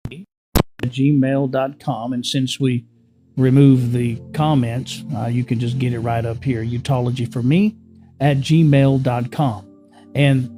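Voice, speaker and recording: Chuckling man teaching in a warm voice. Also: mid-range voice. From born in the US Northeast, raised in California. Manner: conversational, repeats words, chuckles often, asks rhetorical questions. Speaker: spiritual teacher. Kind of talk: unscripted spiritual teaching to camera.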